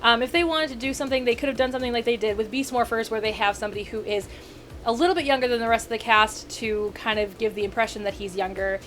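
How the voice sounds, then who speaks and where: A woman in her thirties, a video critic ranting casually to camera, rambling and animated with frequent self-corrections and asides. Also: a little high, fast, soft background music. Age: 30s.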